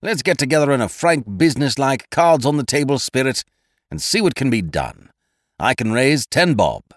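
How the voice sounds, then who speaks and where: A hearty, blustering young schemer, as a male narrator puts him on, pushing his friends along with loud, coaxing enthusiasm. The voice swings widely up and down as he talks. The recording is dry and close. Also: mid-range voice, very animated, normal speed, no echo, pitched above the performer's own voice, lightly acted. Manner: blustering, persuasive, calls others laddie and old horses.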